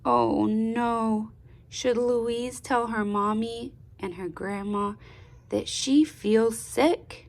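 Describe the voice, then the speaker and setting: Slow-reading woman, creaky voice, storybook read-aloud. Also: a little high. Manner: deliberate, expressive teacher-like delivery with pauses and prompts. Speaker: speech therapist reading aloud to children. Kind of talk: children's storybook read-aloud with questions to the listener.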